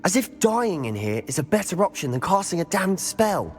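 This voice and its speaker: A man's voice: high voice, big pitch swings, moderate pace. A man playing a bold, bookish young wizard student in a game, decisive and intense. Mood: frustrated, resolute. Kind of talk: scripted game cutscene acting. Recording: long hall reverb under music.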